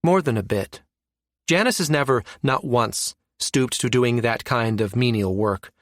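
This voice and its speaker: A man's voice: medium pitch, unhurried, dry recording, clean studio sound, close to an everyday voice. A man voicing a skeptical, wry professional woman who muses emphatically, in an audiobook. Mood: wry, disapproving. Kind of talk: audiobook character dialogue.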